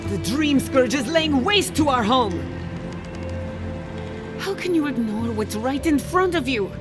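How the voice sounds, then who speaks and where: A woman's voice: sits high, lively intonation, unhurried, over music. An actress voicing a passionate, defiant young female scholar in a video game, speaking with emphasis. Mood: urgent, angry. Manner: impassioned, emphatic.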